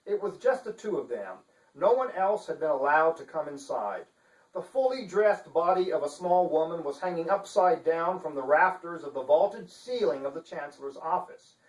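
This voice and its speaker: A man with a pompous, theatrical manner giving a deliberate dramatic reading as an author. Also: fairly high pitch, animated. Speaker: author reading his novel. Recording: echoey room, distant microphone.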